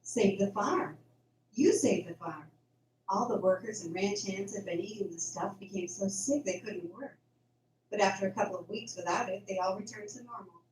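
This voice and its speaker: A woman's voice: mid-range voice, moderate pace, a little mellow, lightly acted. A woman playing an affectionate, encouraging young farm woman in an amateur radio drama. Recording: echoey room, faint background noise.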